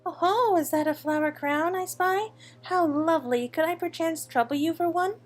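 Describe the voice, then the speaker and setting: A woman's voice: very high-pitched, moderate pace, soft background music, pitched above the performer's own voice. A woman voicing a dainty, playful young noble lady in a video game, with formal, genteel manners. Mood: polite, eager.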